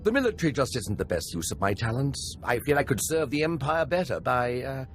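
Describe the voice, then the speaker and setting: A man's voice: mid-range voice, big pitch swings, a little fast, soft background music. A man playing an eager, nervous young imperial officer and scholar in a video game, earnest and a little awkward.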